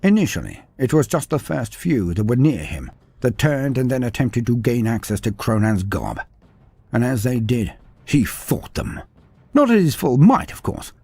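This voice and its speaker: Animated male storyteller, husky. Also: mid-range voice, normal speed, no echo. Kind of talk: scripted story narration.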